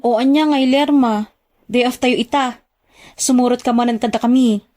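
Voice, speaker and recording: A woman's voice: on the high side, steady tone, normal speed, a little mellow, lightly acted. A woman with an Ilocano accent playing a persuasive, knowing friend in a radio drama. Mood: coaxing. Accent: Filipino, Ilocano. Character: persuasive, knowing female friend working overseas.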